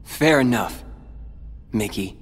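An actor playing a brooding, determined teenage boy warrior in a video game, with a breathy, husky voice. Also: fairly low pitch, expressive, takes it slowly, soft background music. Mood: humble, warm. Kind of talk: video game cutscene acting.

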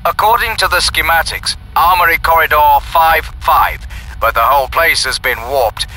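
A man's voice: on the high side, normal speed, pushed and bright, poor audio. An actor playing a male space soldier in an audio drama, reporting formally and urgently over a battle radio. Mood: urgent, dutiful.

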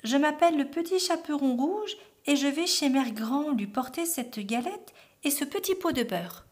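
An innocent little girl from a storybook, played by a woman. The voice sits high, with an earnest, wide-eyed manner. She sounds trusting and polite. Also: expressive, moderate pace. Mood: cheerful, trusting.

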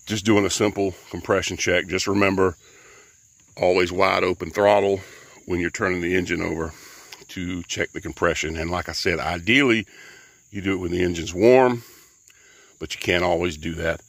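Deep-voiced man, folksy and rambling. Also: steady tone, normal speed, a bit bright, no echo. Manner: folksy, rambling, many fillers. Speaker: DIY mechanic. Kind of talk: casual how-to walkthrough. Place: outdoors beside a jet ski.

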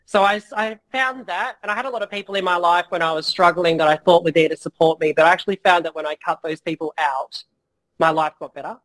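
A man guesting on a business interview, talking frankly and casually with many fillers and the odd swear word, his voice going a little hoarse. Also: high voice, quick pace, fairly bright tone, poor audio. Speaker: guest entrepreneur.

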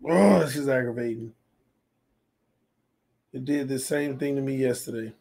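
A man's voice: mid-range voice, normal speed, creaky. Man streaming with a strained, fading voice. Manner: talks quietly to spare his voice, reads chat aloud. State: losing his voice. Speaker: sports channel host. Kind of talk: casual livestream chat while gaming. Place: small home room full of fan decor. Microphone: desk mic on stand.

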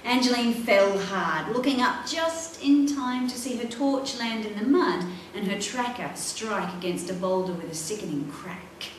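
Woman, middle-aged, expressive storytime reading, heavy room echo, faint noise. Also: medium pitch, measured pace, hardly any pauses. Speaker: author reading own book. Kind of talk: storytime reading of a children's adventure novel. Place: indoor library room, seated at a table. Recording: echoey room, distant mic, faint noise.

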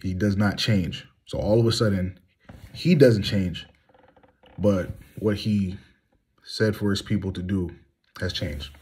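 Man, 30s, very low husky voice, heated and blunt, phone selfie monologue from a small room. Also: slow pace, long pauses, a little mellow. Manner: heated, blunt, rhetorical questions. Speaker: vlogger. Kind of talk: candid monologue to phone camera. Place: small room indoors, reclining. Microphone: phone at arm's length.

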